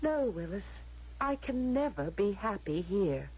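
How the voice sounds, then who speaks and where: A woman's voice: mid-range voice, sing-song, measured pace, a little mellow, breathy edge. An actress with an American accent playing a yearning, earnest young woman in a theatrical, questioning radio drama style. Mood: anguished. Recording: muffled, lo-fi old radio broadcast.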